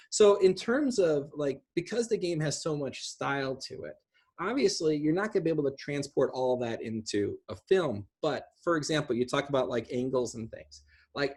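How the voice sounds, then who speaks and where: A man's voice: fairly high pitch, husky. A middle-aged man talking casually and emphatically, explaining things on a video call. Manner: emphatic, animated. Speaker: speaker and co-host of online film panel. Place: room at home, indoors.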